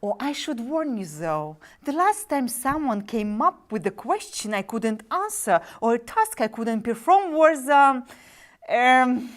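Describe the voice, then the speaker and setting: A high, very animated voice for a wisecracking demon who closes deals on souls , acted by a woman. The delivery takes its time, full of breezy salesmanship and theatrical mockery, as in a staged drama reading. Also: heavily acted. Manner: breezy show-business sales patter, mocking and theatrical. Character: smooth-talking, wisecracking demon sub-agent dealing in damned souls.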